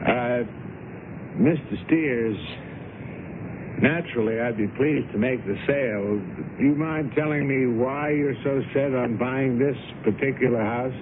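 An actor as a courteous estate agent, tinny noisy radio. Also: male voice, medium pitch, a little slow, close to an everyday voice. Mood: hesitant, warning. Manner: polite, hesitant. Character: courteous, cautious small-town male estate agent. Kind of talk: radio drama acting.

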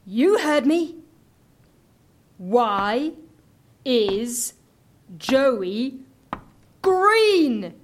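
A stern, conscientious bookkeeper in an audio comedy. Her high voice swings sharply in pitch, sarcastic, indignant and thoroughly exasperated. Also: big pitch swings, extremely slow, long pauses, heavily acted. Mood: outraged. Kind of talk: audio drama comedy acting.

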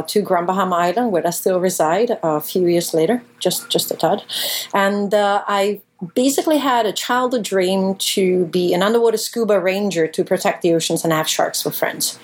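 A woman in her forties from Italy, speaking, answering interview questions in an animated storytelling way with occasional fillers. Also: on the low side. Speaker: guest, professional shark diver.

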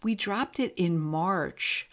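A woman chatting casually as an interview guest about her creative work, animated and self-mocking, leaning on repeated words for emphasis. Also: lowish voice, takes it slowly. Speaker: guest, comedy fiction podcast creator.

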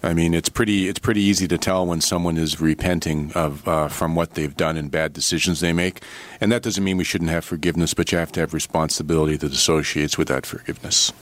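Fast-talking deep-voiced man on talk radio. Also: steady tone. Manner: earnest, emphatic, quick-talking. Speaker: studio guest commentator. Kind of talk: radio talk show discussion. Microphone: studio microphone.

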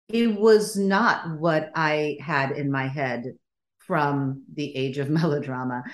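A woman with a low voice talks about her writing in an unhurried interview. She grew up in Sweden and Bulgarian was her first language. She is thoughtful and circles back with fillers and restarts. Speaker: playwright and adapter. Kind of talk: casual interview conversation.

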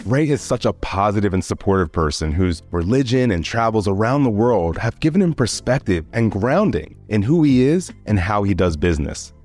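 A man's voice: on the low side, a little mellow. A man hosting an interview, introducing his guest and asking long, friendly questions filled with "like" and "you know.". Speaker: interview host. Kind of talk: podcast interview.

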